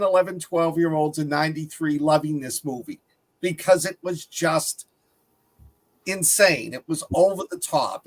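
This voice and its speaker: Middle-aged male panelist, somewhat high-pitched, casual and anecdotal movie talk. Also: fairly bright tone. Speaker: film review panelist.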